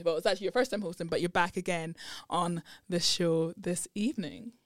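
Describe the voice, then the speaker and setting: A woman, chatting personally and excitedly as a podcast guest. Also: fairly low pitch, a little fast, dry recording, clean studio sound. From Edinburgh, Scotland. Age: 20s. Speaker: podcast host as guest on own show. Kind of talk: personal testimony in casual chat.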